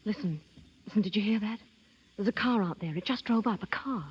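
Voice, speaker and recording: An actress playing an indignant, sharp-tongued young woman with a sceptical edge, in 1930s radio drama style. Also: medium pitch, brisk, slightly rough. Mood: uneasy, cross. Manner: tart, sceptical. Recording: muffled 1930s radio broadcast.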